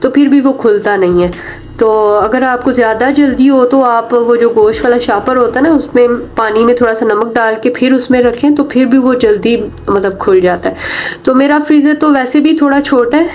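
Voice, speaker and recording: A woman's voice: a little high, moderate pace, a little mellow. A woman with a Pakistani accent, a mom vlogger narrating in a chatty, explanatory way and speaking directly to viewers. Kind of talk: casual homemaking vlog narration.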